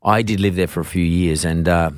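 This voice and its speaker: A man's voice: deep voice, fairly even intonation, fairly quick, smooth, dry recording, studio quality. A middle-aged man hosting a podcast interview, chatty and rambling, often restarting his sentences. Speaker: podcast host. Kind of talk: conversational interview.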